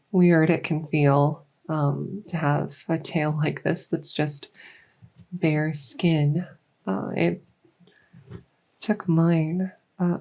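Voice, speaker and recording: A woman playing a gentle fae doctor in a soft-spoken ASMR medical roleplay, reassuring and unhurried, sometimes slipping toward a whisper. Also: on the low side, vocal fry, exaggerated voice. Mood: delighted, gentle. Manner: unhurried, reassuring, hesitant pauses. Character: gentle female fae doctor with buggy wings and scaly tail. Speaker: audio roleplay performer.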